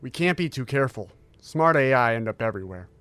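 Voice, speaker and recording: A man playing a tall, secretive military scientist who speaks in a formal, measured briefing manner in an audio drama. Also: medium pitch, expressive, fairly bright tone, lightly acted. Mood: guarded, polite.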